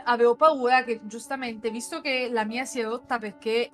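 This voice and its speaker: A woman's voice: a little high, steady tone, normal speed, soft background music. An Italian woman hosting a casual live stream, bantering in a joking, playful and exclamatory way. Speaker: podcast and stream host.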